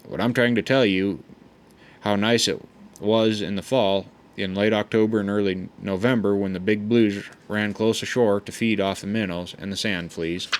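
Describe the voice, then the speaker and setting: Slow folksy man reading a memoir. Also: fairly low pitch, fairly even intonation. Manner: unhurried, folksy, with frequent stumbles and restarts. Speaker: audiobook reader.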